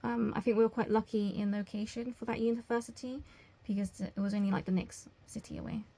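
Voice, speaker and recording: A young woman answering job interview questions as a language teacher, explaining things in a measured way. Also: fairly high pitch, fairly even intonation, warm tone. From England.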